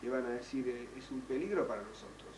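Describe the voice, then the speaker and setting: An older man whose first language is French tells his memories haltingly, restarting and searching for words. He sits back from the microphone in a roomy space. A faint hiss runs underneath. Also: mid-range voice. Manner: halting, many restarts and fillers. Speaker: interviewee, child survivor. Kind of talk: recorded oral history testimony. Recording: roomy, distant, faint noise.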